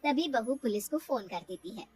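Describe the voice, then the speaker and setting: A woman's voice: fairly high pitch, expressive, moderate pace, husky, faint music behind. A woman giving scripted narration for a cartoon moral story.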